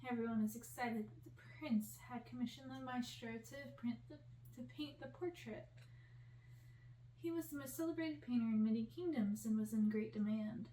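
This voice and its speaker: High-voiced woman reading a story, roomy sound. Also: mellow. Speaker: audiobook-style reader for ASMR channel. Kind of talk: ASMR storybook reading, scripted narration. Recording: distant roomy recording with faint hiss.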